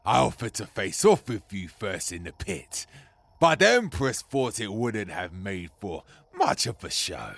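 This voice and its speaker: An actor playing a boastful, menacing furred beast general with a gravelly, husky voice in a fantasy audio drama. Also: male voice, lowish voice, very animated, a little slow, a bit bright, no echo, full character voice. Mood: gloating, menacing. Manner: pompous, blustering.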